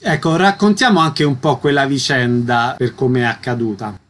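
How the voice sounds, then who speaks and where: A man's voice: mid-range voice, moderate pace, fairly bright tone, a little hiss or hum. A man hosting a book interview on the radio, introducing and questioning his guests. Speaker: radio host interviewing authors.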